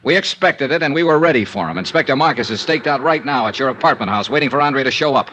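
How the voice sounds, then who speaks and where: Male actor as world-weary private investigator, sardonic, terse, mid-pitched, 1950s radio noir, slightly noisy vintage recording. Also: fast talker, pushed and bright, lo-fi sound, natural voice. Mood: accusing, bitter. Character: hard-boiled male insurance investigator, cynical and world-weary. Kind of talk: 1950s radio noir acting and first-person narration.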